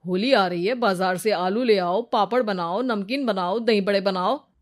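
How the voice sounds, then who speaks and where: A woman's voice: mid-range voice, moderate pace, close to an everyday voice. A woman voicing a bossy, fussy cartoon husband who scolds and makes demands like a mother-in-law. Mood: bossy, grumbling.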